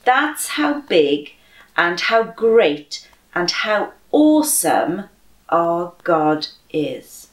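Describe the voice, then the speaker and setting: Slow-paced woman in an echoey room. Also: lowish voice, big pitch swings. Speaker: children's church story reader. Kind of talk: slow expressive read-aloud and prayer for children. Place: bright room at home by a window, indoors. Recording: roomy echo.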